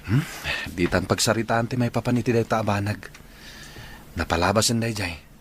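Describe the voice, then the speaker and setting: A man's voice: lowish voice, moderate pace, a little hiss or hum, close to an everyday voice. An actor with a Filipino Ilocano accent playing an earnest young engaged man, wary of his sister, in a radio drama. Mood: resentful, subdued.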